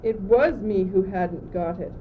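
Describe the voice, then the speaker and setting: A woman's voice: medium pitch, measured pace, natural voice. Teenage girl acting fretful courtier, muffled noisy recording. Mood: puzzled. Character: gloomy, confused, doubting young courtier, drifting and fretful. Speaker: student actor. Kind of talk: amateur school radio play.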